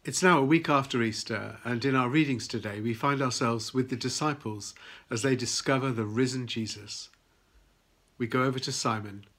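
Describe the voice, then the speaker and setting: A man's voice: mid-range voice, moderate pace, fairly bright tone. A man leading a church service, plainly introducing the reader and the preacher.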